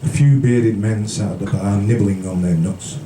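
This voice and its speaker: A man from the British Isles reading poetry live at a café event in a measured storytelling manner, with brief casual asides. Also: on the low side. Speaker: featured poet. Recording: roomy, distant, noisy live recording.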